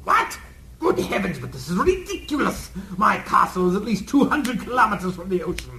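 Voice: mid-range voice, sing-song, moderate pace, creaky, sharp tone, echoey room, a little hiss or hum, full character voice. An actor playing a flustered, indignant mad-scientist doctor in comic radio theatre, theatrical and hesitant. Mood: bewildered.

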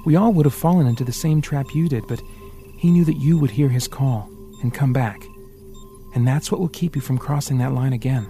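A man doing a calm, fatherly hero. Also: medium pitch, fairly quick, soft background music, close to an everyday voice. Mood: reassuring. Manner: calm, reassuring, earnest. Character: steady man with a metal arm, fatherly and resolute.

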